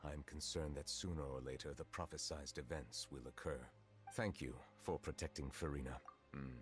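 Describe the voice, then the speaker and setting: A man acting a grave, dignified judge of great authority in a video game, with formal, measured, reserved speech. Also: extremely low pitch, steady tone, music in the background.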